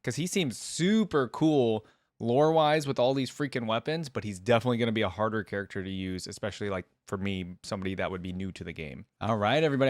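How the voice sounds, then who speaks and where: A man's voice: lowish voice, dry recording, clean studio sound. A man hosting a reaction video, commenting casually as he watches.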